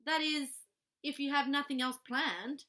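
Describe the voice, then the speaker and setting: A woman voicing a mild, nervous, well-meaning gentleman in a children's story, polite and hesitant. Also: high-pitched, steady tone, unhurried.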